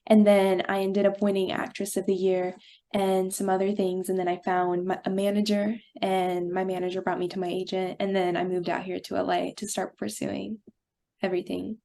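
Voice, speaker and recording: A woman's voice: mid-range voice, flat delivery, normal speed, gravelly, warm tone. Giggly teenage girl from Brazil. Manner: bubbly, giggly, hesitant with fillers. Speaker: guest actress. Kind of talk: casual interview answers.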